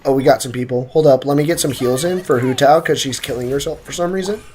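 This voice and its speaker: A man's voice: mid-range voice, fast talker, a little hiss or hum. Hyped young male game streamer. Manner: excitable, joking, trash-talking. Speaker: gaming streamer. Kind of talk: live game commentary, chatting with viewers.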